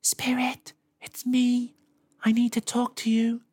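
A man's voice: very high-pitched, completely flat delivery, slow pace, darkish tone, slightly rough, pitched above the performer's own voice, full character voice. A man voicing a brave, curious little girl in a storybook, calling out with urgency.

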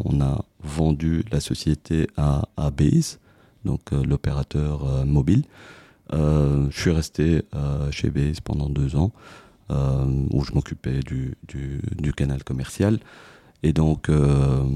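A man in his forties from Tunisia, a business owner talking about his career as a podcast guest, halting often with fillers and restarts. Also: rumbling low, warm tone, dry recording. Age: 40s. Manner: hesitant, many fillers and restarts. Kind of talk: casual interview.

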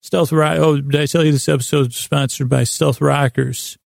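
Male sleep-podcast host, heavy vocal fry, monotone, meandering and halting bedtime monologue. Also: a little high, no echo, clean studio sound. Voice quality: gravelly, creaky. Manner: meandering, halting, pauses and restates himself mid-thought. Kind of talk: rambling bedtime monologue to help listeners sleep.

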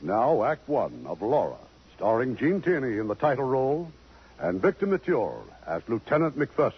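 A man's voice: mid-range voice, very animated, moderate pace. A man hosting and narrating a scripted drama program in 1950s radio style. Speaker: radio show host and narrator. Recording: muffled 1950s radio broadcast.

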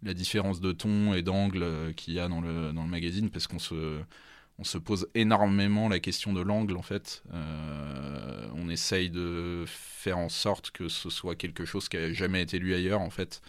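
Deep-voiced hesitant man, dry sound. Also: steady tone, normal speed, no echo. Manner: hesitant, many fillers and restarts. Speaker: magazine editor-in-chief, guest. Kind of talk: casual radio interview.

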